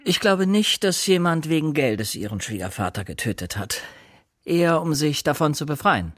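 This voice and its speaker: A man's voice: on the high side, very animated, moderate pace, a bit bright, no room sound, clean studio sound. A man playing an earnest, self-doubting amateur investigator who narrates and talks in a radio crime drama. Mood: earnest, reflective. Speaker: narrator and protagonist.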